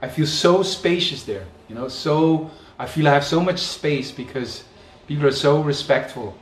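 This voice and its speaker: A man from Belgium chatting casually, reflective and relaxed, with "you know" in nearly every sentence. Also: fairly high pitch, moderate pace. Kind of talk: casual conversation. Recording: echoey room with faint background noise.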